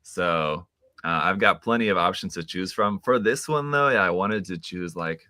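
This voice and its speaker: A man hosting a casual live stream chat, relaxed and laughing often, with many fillers. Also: low-pitched, normal speed, dry recording, studio quality.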